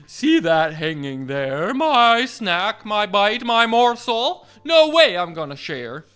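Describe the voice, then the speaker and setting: A man's voice: high voice, sing-song, slow, a bit bright, smooth, full character voice. A man voicing a big, selfish male bear from a storybook, growly and possessive. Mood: greedy, possessive.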